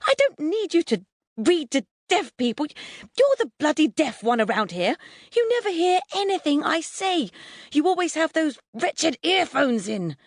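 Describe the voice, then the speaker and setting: A woman voicing a furious mother at her wits' end in a story, with exasperated, emphatic shouting. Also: very high-pitched, huge pitch swings, slow, hardly any pauses, no echo, clean studio sound, pitched above the performer's own voice, full character voice. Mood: explosive anger.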